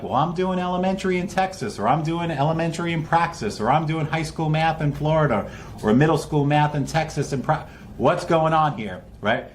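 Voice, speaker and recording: A man's voice: medium pitch, a little hiss or hum. A man hosting an online test-prep session with an upbeat, informal and encouraging introduction.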